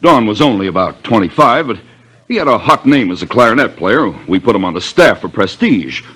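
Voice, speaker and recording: An American actor playing a touchy, evasive music school owner in a 1950s radio mystery, defensive and blustering. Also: male voice, on the low side, sing-song, brisk, fairly bright tone, husky, exaggerated voice. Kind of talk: 1950s radio detective drama acting.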